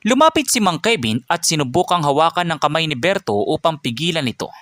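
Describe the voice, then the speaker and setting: A man's voice: a little high, very animated, a little fast, fairly bright tone, no room sound. Man narrating a dramatic story.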